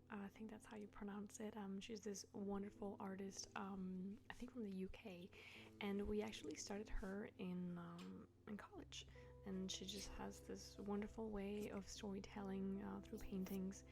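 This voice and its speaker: A tired woman narrating her painting vlog in a soft, half-whispered, slightly raspy voice, rambling gently. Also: medium pitch, flat delivery, moderate pace. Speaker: painter and video creator.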